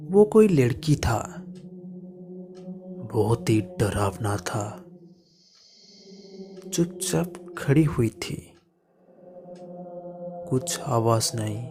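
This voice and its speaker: Male narrator for horror stories: mid-pitched, extremely slow and halting, dramatic, sometimes hushed, over a clear music bed. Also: animated. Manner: halting delivery in short broken phrases, sometimes hushed. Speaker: horror storyteller.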